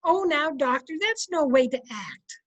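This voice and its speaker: Woman playing a high-voiced, patient radio wife. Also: expressive, measured pace, highs cut off. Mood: soothing. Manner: gently correcting, soothing, polite. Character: patient, sweetly chiding wife of a blowhard. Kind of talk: 1950s radio comedy acting.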